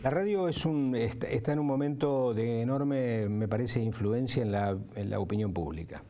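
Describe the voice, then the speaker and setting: A man's voice: mid-range voice, normal speed, mellow. A man who works in radio, talking reflectively in an interview. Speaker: radio professional.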